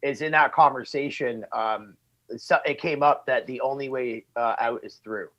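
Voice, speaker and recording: A man in a band, recalling a conversation thoughtfully in an interview, halting with restarts. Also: mid-range voice, fairly even intonation, a bit bright, dull audio. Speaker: band member.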